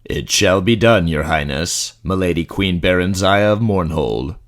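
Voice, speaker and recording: A man playing a male dark elf general, composed, commanding and formally courtly, in a fantasy audio drama. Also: low voice, animated, a little slow. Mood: solemn.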